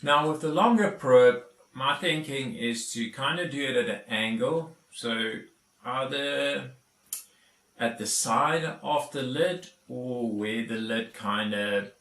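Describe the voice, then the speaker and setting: A man's voice: medium pitch, slow pace, fairly bright tone, echoey room, recorded from a distance. A South African man whose first language is Afrikaans, hosting a casual DIY vlog and explaining each step with plenty of fillers. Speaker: YouTube DIY host.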